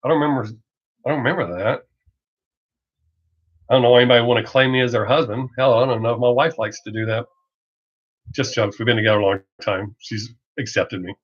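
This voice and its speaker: Southern American man, dull lo-fi stream. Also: medium pitch. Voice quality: Southern drawl masked by fast talk. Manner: blunt, rambling, profane, joking. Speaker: reaction video creator hosting a live stream. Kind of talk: casual livestream chat, off the cuff. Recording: dull lo-fi livestream audio.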